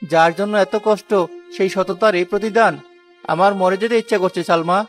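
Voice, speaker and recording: A man's voice: high voice, fairly even intonation, a little fast, close to an everyday voice. A man voicing a poor, honest porter in a cartoon moral tale, earnest and plaintive. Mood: despairing. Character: poor honest male porter, proud, wronged, humble. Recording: music behind the voice.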